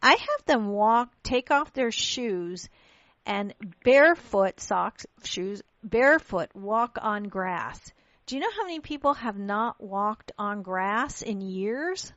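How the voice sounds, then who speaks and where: A woman's voice: mid-range voice, animated, takes it slowly, fairly bright tone, no room sound, muffled recording. A woman born in Pakistan hosting a radio talk show, speaking earnestly in casual chat and often restarting her sentences.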